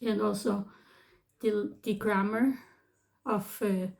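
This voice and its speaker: A woman's voice: mid-range voice, fairly even intonation, takes it slowly, gravelly, darkish tone. A woman in her thirties from the Philippines with a Filipino accent, talking casually and hesitantly in a vlog with many restarts. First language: Tagalog. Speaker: vlogger. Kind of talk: casual advice talk.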